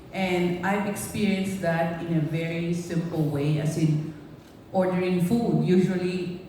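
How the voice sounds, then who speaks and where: Filipino accent woman, low mellow voice, lots of ums, far off in a big echoey hall. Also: slight background noise. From Philippines. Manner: halting, with many pauses and fillers. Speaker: cast member at a press conference. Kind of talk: panel answers at a press event.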